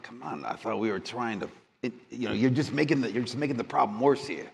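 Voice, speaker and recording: A man's voice: medium pitch, fast, gravelly, darkish tone. A man role-playing casually as a player at a live tabletop game, with a chatty, colloquial way of talking. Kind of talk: casual in-character role-play at a live show. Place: live stage at a gaming convention. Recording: echoey hall, live convention show.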